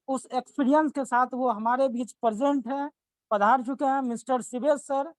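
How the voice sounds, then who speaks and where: High-voiced man hosting a video call. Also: dry recording. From Bihar, India. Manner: long winding sentences, effusive praise of the guest. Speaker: meeting host and promoter. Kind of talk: formal welcome speech on a video call. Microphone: video call microphone.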